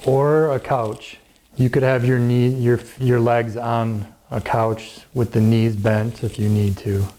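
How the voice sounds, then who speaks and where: A man gently and calmly guiding a yoga and relaxation class in measured phrases with pauses. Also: medium pitch, a little hiss or hum, rough recording. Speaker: yoga teacher. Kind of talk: guided yoga and relaxation instruction.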